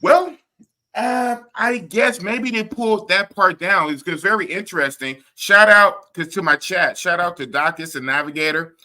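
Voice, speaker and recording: A man hosts a live stream in loose, casual talk. His voice is very bright and sharp and sits a little high for a man. He rambles and repeats phrases as he goes. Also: normal speed. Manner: animated and rambling, restarts and repeats himself. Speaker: podcast and live stream host.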